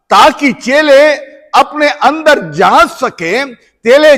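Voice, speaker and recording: A man with a high voice preaches slowly and deliberately. He repeats phrases loudly, then drops his voice low and soft. Long church reverb trails after every line. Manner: slow, emphatic, rising to loud repeated phrases then dropping to soft. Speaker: bishop preaching. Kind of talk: sermon.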